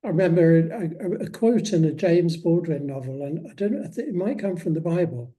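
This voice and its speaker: A man speaking as an interviewed author, in a reflective, conversational way with measured, hesitant phrasing. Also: a little high, animated, dark tone.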